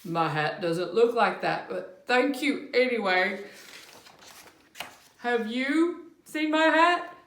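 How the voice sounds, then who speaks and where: Sad, courteous bear for a children's storybook, acted by a woman; high voice, dragging pace, roomy sound. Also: lively intonation, a bit bright, heavily acted. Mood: polite, patient. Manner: slow, plodding, polite delivery. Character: polite, slow, sad male bear searching for hat. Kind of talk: picture book read aloud with feeling. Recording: echoey room.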